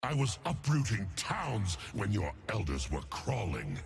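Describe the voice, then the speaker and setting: A man voicing an ancient, savage bear demigod from a fantasy game, gravelly and contemptuous, making grandiose, menacing declarations. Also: lowish voice, very animated, measured pace, a little hiss or hum, full character voice. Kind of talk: fantasy game character voice lines.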